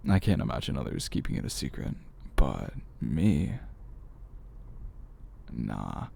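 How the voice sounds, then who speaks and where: Man acting as low, gravelly teasing big brother. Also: big pitch swings, dark tone, exaggerated voice. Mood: soft, probing. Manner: slow, teasing, chuckling, intimate. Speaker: audio roleplay performer. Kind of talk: ASMR boyfriend roleplay monologue.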